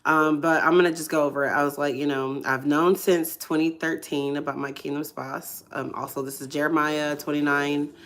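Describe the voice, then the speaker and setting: Hoarse, congested woman, low-voiced, soft and hesitant, filler-heavy testimony host. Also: flat delivery, moderate pace, hardly any pauses. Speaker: ministry livestream host. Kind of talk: casual testimony livestream.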